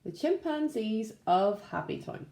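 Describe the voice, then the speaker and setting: Expressive woman reading slowly in a roomy space. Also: medium pitch, gravelly. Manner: expressive, addressing young children. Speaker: primary school teacher reading to class. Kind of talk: children's storybook read-aloud. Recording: roomy, echoing room sound.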